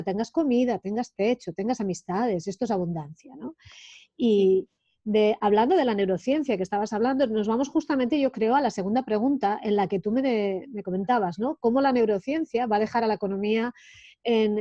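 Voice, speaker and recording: Mellow-voiced woman host, dull audio. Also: mid-range voice, no echo. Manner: casual, agreeable, uses filler tags. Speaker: host, interviewer. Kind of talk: conversational interview.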